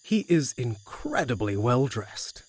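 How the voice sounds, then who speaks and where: A man's voice: medium pitch, very animated, slow pace, darkish tone, slightly rough. A man reading scripted narration for an audio drama.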